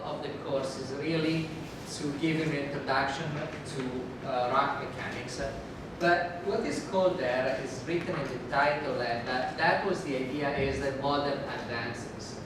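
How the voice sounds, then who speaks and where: A professor with an Italian accent, a native Italian speaker, giving a university lecture in a careful, deliberate way. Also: male voice. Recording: echoey hall from far off, rumble.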